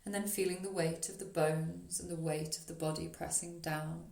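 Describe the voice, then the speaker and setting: A woman leading a guided meditation as a yoga nidra teacher, speaking in a soothing, gentle, unhurried way with long pauses. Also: low-pitched, steady tone, creaky. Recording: roomy echo on the voice.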